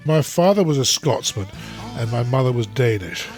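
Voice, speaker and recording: A man's voice: mid-range voice, lively intonation, moderate pace, loud music behind the voice. A man, a podcast guest who chats in a rambling way, full of restarts and "you know"s. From England. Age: 70s. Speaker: podcast guest, former conference interpreter. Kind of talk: casual interview chat.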